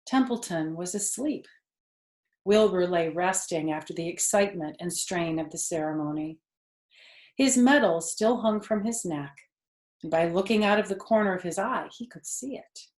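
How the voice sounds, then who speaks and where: An older woman reading a children's book aloud as a storyteller, with expressive narration. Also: lowish voice, moderate pace, roomy sound.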